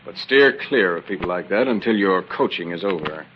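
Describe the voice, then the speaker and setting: An actor playing a bossy, crafty crook in the style of 1940s radio drama. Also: male voice, deep voice, lively intonation, moderate pace. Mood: commanding. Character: bossy, crafty male crook running a swindle. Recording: dull, hissy old radio recording.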